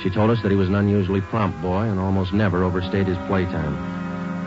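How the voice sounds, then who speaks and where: An American man playing a terse, businesslike police detective in a 1940s radio drama, deadpan and matter-of-fact. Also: low voice, natural voice. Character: terse, businesslike male police detective narrating his case. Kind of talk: 1940s radio drama acting, clipped narration. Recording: dull old 1940s radio recording, music.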